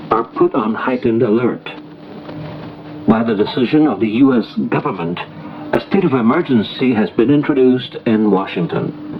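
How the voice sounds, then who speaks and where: A man reading a formal scripted international radio news bulletin in a measured, deliberate way. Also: mid-range voice. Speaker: radio newsreader. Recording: noisy, muffled shortwave radio broadcast recording. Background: shortwave static and noise.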